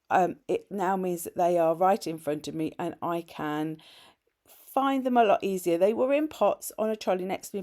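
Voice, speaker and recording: Brief: woman, middle-aged, slightly low and a bit croaky from a cold, chatty tutorial voice-over. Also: darkish tone. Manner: chatty and explanatory, with small self-corrections. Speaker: art journaling creator. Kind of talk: casual craft tutorial voice-over.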